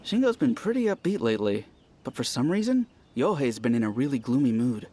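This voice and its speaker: Man voicing an animated, gossipy villager. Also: medium pitch, fairly quick, close to an everyday voice. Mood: musing. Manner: animated storytelling. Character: gossipy, easygoing male villager recounting events. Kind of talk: casual video game character dialogue.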